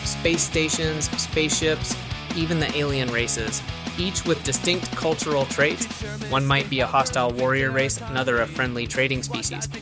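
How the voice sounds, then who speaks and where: A man's voice: medium pitch, fairly even intonation, normal speed, lightly acted. An actor playing a young male game programmer in an audio drama, with an enthusiastic manner that can turn uneasy. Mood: excited, eager. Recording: loud music behind the voice. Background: prominent music bed.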